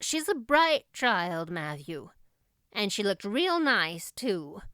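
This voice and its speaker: A no-nonsense older country woman read by a female narrator in a storybook. Her voice is clear and dry, measured and reserved, giving approval grudgingly. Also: medium pitch, sing-song, pushed and bright, no room sound, heavily acted. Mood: grudgingly proud. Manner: measured, matter-of-fact, grudging. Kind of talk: audiobook character voice.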